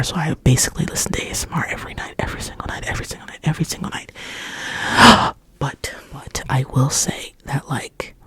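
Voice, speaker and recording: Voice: mid-range voice, slightly rough. A young adult ASMR creator whispering a rambling chat at home, often repeating words over and over as triggers. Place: home indoors, people upstairs.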